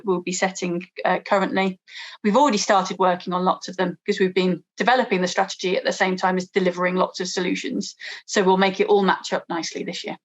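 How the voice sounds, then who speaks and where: A woman speaking at an online conference over a video call, explaining plans in a clear, organized way. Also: medium pitch. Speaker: guest speaker.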